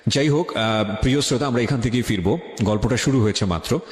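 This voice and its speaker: A man's voice: mid-range voice, fast talker. A man with a Bangladeshi Bengali accent hosting a radio show, commenting to listeners in a dramatic, emphatic way. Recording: echoey studio sound, long reverb.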